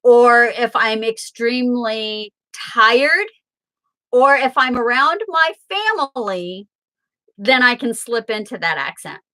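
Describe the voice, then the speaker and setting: Slow, bright-voiced woman chatting with viewers. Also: on the high side, animated, poor audio. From Southern Illinois, USA. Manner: chatty, answers viewer comments aloud. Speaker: tarot reader and livestream host. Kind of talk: casual livestream chat with viewers.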